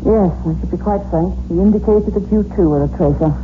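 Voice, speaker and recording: Dutiful secret agent actress, earnest and composed, medium pitch, dull vintage radio thriller sound. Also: female voice, fast talker, noisy background, natural voice. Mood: resolute. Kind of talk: 1960s radio suspense drama acting. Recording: muffled old radio recording with music.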